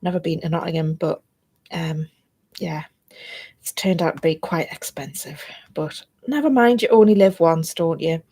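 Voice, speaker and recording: Woman, North East English accent, casual vlog chat, meandering, slightly chesty froggy throat, fairly low voice. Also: normal speed, mellow, husky. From North East England. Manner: chatty and meandering, drifting between topics. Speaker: vlogger.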